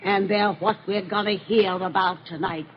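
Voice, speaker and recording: A woman's voice: medium pitch, fairly even intonation, moderate pace, natural voice. A woman playing a gleefully sinister, ancient witch with a cracked, cackling voice, telling folksy horror tales in old radio style. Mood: eerie storytelling. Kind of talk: 1930s radio horror acting. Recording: muffled, noisy old radio recording.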